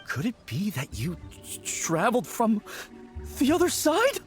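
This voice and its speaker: Game rookie: jumpy young man, high voice, swooping with fright, stammering and polite, faint music behind. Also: wildly swooping pitch, unhurried, full character voice. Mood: nervous. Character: timid, easily terrified young male rookie undertaker. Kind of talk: animated video game voice acting.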